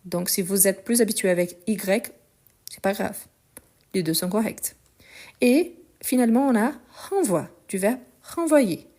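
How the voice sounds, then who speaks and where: A woman's voice: mid-range voice, unhurried, mellow. A woman whose first language is Portuguese, teaching a French grammar lesson live, patiently going step by step and checking in with her viewers. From Brazil. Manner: patient, explains step by step, often checks in with listeners. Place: at home on a lunch break.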